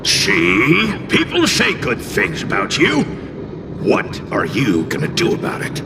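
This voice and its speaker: A scornful little game demon. The voice is creaky and leaps dramatically up and down, brash and theatrical. Noticeable background noise runs underneath. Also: male voice, medium pitch, huge pitch swings, slow pace, heavily acted. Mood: gruff, grudging. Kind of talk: video game voice acting.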